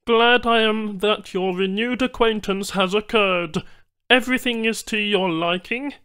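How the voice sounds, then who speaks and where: High-pitched alien trader. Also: male voice, pushed and bright, clean tone. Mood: formal, welcoming. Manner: halting, oddly ordered phrasing. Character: alien male trader, formal, eager, stilted syntax. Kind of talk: audiobook character voice.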